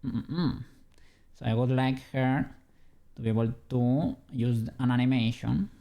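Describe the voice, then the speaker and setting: A man's voice: mid-range voice, fairly even intonation, measured pace, gravelly, warm tone. A man from Spain with a Spanish accent, chatting casually with his stream viewers while he works on an animation, musing aloud and laughing lightly. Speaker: streamer and 3D rigger. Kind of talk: casual livestream chat while working.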